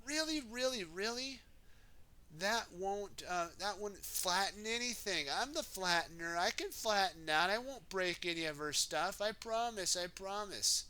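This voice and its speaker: A man puts on an eager little storybook steamroller with a high, bright voice. He protests indignantly and repeats himself, insisting he can do the job. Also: expressive, measured pace, full character voice.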